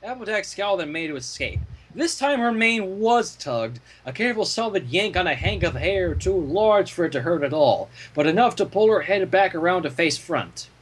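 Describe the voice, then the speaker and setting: A man reading fan fiction aloud as an expressive story narrator. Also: sits high, normal speed, hardly any pauses, slightly rough.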